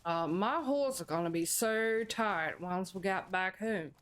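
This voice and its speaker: A woman's voice: fairly low pitch, a little slow, smooth. A young Dutch woman with a Dutch accent, chatting with viewers while streaming a game. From Netherlands. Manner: chatty, answers viewers, bursts of laughter. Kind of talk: live-streamed gameplay chat.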